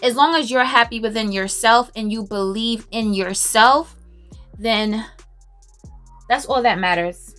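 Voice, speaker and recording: A woman's voice: a little high, unhurried, a bit bright, soft background music. A woman, chatting casually and emphatically while doing her makeup in a home closet. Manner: chatty, emphatic, self-assured, laughs often. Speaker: beauty vlogger. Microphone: camera on a stand, close.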